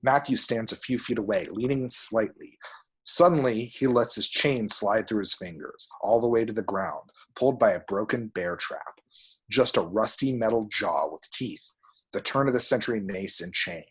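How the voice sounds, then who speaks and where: Male narrator, low and husky, reading stage directions at a script table read. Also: normal speed, a bit bright, low-quality recording. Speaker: reader of action descriptions at a table read. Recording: home recording.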